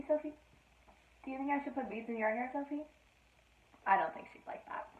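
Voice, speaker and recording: A woman reading a children's story aloud in an expressive teacherly style, with playful asides to her listeners. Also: on the high side. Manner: addresses listeners with playful questions, dramatic emphasis. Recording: roomy, echoey, narrowband sound.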